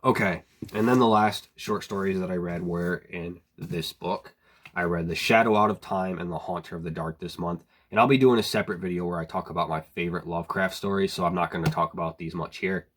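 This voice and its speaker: A man with a slightly hoarse voice from a cold, chatting casually in a book review vlog, rambling with many fillers and clearing his throat now and then. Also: sits low, brisk. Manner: relaxed, rambling, frequent "like" and "um", clears throat often.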